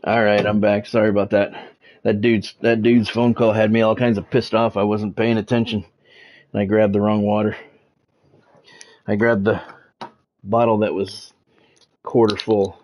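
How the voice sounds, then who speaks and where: A man's voice: lowish voice, little pitch movement, normal speed, pauses often, muffled recording. A man doing sports play-by-play of a video game on a stream, mixed with casual chat with viewers.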